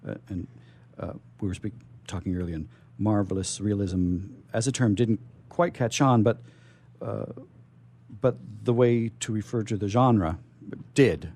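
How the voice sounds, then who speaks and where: A man hosting a casual radio interview, speaking haltingly and repeating his phrases as he goes. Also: on the low side, darkish tone.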